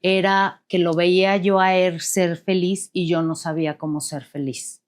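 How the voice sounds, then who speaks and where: A woman's voice: fairly low pitch, fairly even intonation, unhurried. A woman, a guest co-author, answering interview questions in an earnest and openly affectionate way.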